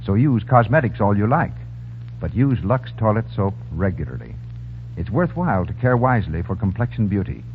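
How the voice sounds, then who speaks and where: Man, old-time radio ad announcer, lively intonation, lowish voice, phone-like band, faint noise. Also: normal speed. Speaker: commercial announcer. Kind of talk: 1930s radio advertising read. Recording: tinny, humming 1930s radio recording.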